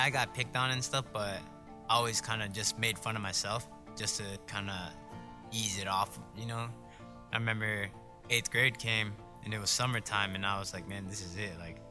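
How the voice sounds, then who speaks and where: A man in his twenties, born in Peru, telling his personal story casually. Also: on the low side. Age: 20s. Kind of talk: personal testimony. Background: music.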